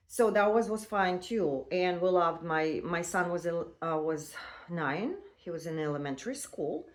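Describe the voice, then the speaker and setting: A woman in her fifties telling her own story in a casual, halting way, with many fillers and non-native phrasing. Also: medium pitch. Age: 50s. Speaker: vlogger.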